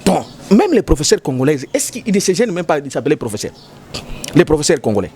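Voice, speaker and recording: Lively, high-pitched man arguing politics. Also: quick pace. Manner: emphatic, repetitive, switching between French and Lingala. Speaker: political commentator. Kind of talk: heated political debate.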